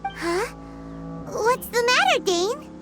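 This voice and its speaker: A woman voicing a small, childlike, excitable fairy companion in an anime video game, chatty, cutesy and expressive. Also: very high voice, slow pace, exaggerated voice. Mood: puzzled. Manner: exclaiming, expressive, cutesy, speaks of self in third person. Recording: music behind the voice.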